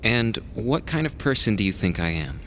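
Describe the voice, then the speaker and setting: A man's voice: on the low side, big pitch swings, moderate pace, a bit bright, slight background noise. A man playing a blunt, distrustful young lieutenant in an audio drama, curt and formal. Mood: cold, distrustful.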